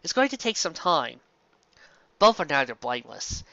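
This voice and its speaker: A man hosting a fan fiction series, narrating and commenting casually in an enthusiastic, halting, stumbling way. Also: a little high, sing-song, creaky, pushed and bright, no room sound, lo-fi sound.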